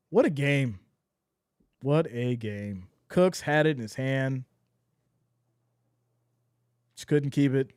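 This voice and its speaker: Hoarse male fan commentator, high-ish voice, close dry mic, emotional live play calls. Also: dry recording. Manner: excitable, swings from shouting to deflated mutters. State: losing his voice. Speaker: streamer doing play-by-play.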